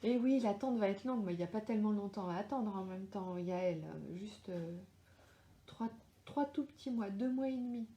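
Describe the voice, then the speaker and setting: A middle-aged woman speaks with a strong French accent in a mellow, relaxed tone, chatting with readers and stopping often to search for words. Her voice carries a noticeable echo from the room at home where she records. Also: medium pitch, moderate pace, slight background noise. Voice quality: hesitant, many fillers and restarts. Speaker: author answering readers live. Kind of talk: casual live Q&A chat. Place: home, lives in Australia.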